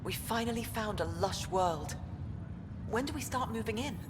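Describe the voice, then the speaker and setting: A woman's voice: a little high, lively intonation, normal speed, over music, lightly acted. A woman playing a hopeful, encouraging space colonist in a video game. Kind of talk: scripted video game dialogue. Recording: reverberant, clearly noisy background.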